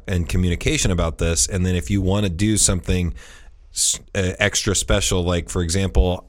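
Deep-voiced man of thirty. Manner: meandering, full of restarts. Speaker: podcast host. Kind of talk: casual chat.

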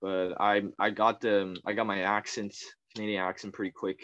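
Laid-back young man, low voice. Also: flat delivery, normal speed, dull audio. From Taiwan. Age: young adult. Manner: laid-back, laughs often, trails off quietly. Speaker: podcast guest. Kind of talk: casual chat.

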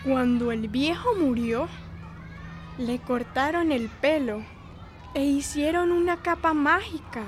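Slow, high-voiced little girl storyteller. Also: slight background noise. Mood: earnest storytelling. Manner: slow, emphatic, repeats words for wonder. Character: little migrant girl storyteller, earnest and imaginative. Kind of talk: radio drama storytelling.

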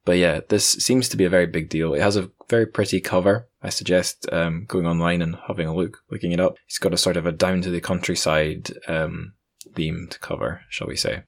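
A man's voice: low-pitched, steady tone, fairly quick, creaky. A man hosting a podcast in a casual, chatty way with wry asides. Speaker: podcast host.

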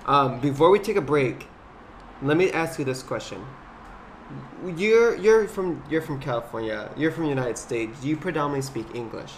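Young male podcast host, playful and teasing, slightly high voice, faint background noise. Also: expressive, normal speed. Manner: playful, joking interviewer. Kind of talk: casual chat.

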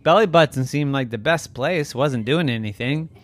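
A man voicing a big, booming god in a storybook tale, dismissive and mocking in manner. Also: moderate pace, natural voice. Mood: offhand. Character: big, loud, scornful male thunder god.

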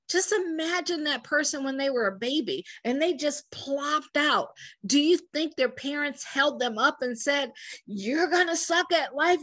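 A woman preaching to online viewers about faith and business, fervent and asking listeners to say lines back, her voice turning hoarse. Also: sits high, a bit bright. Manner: fervent, calls for responses from listeners. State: hoarse, losing her voice and coughing.

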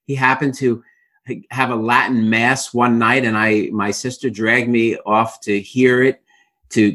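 A man's voice: medium pitch, a bit bright. Hesitant Brooklyn man telling his story. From Brooklyn, New York. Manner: hesitant, many fillers and restarts. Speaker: author and interview guest. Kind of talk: casual interview storytelling.

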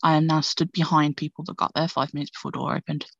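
A woman's voice: deep voice, level tone, fast talker, breathy edge, no echo. A woman in her thirties, co-hosting a podcast in a chatty, laughing casual talk full of "like". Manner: chatty, says "like" a lot, laughs often. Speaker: podcast co-host. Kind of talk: casual chat. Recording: dull lo-fi audio, dry.

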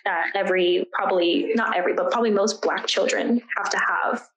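A woman's voice: medium pitch, a little fast, highs cut off. A young American woman telling personal stories in an interview, speaking informally with quoted asides and a lot of "like". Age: young adult. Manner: informal, animated retelling with quoted asides and frequent "like". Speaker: student interviewee. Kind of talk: candid personal storytelling in an interview. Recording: roomy, echoey sound.

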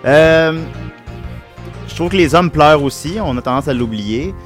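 Animated Québécois co-host. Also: male voice, on the high side, moderate pace, faint music behind. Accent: Québécois French. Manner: laughing, animated, hesitant.

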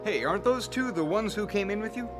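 A man's voice: high-pitched, lively intonation, quick pace, music in the background. A man playing a friendly, upbeat young fighter pilot in a radio drama.

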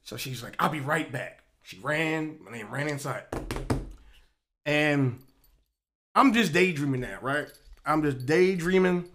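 A man in his forties hosting a casual podcast chat, telling stories in an animated, joking way. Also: on the high side, a little slow, pauses often, background noise. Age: 40s. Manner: animated storyteller, loose and joking. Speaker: podcast host.

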